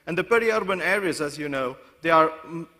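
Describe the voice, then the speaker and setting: A man's voice: on the high side, expressive, pushed and bright. A man from Portugal giving a conference presentation, often restarting and correcting himself mid-sentence. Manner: halting, many restarts and self-corrections. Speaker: conference speaker. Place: conference hall. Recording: long hall reverb.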